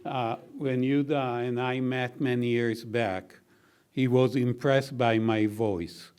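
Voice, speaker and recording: Older guy with a baritone, slow careful thank-you speech at a podium, a dry joke now and then. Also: fairly even intonation, smooth. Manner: slow, measured, gently humorous. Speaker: honoree giving closing remarks. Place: university reception hall.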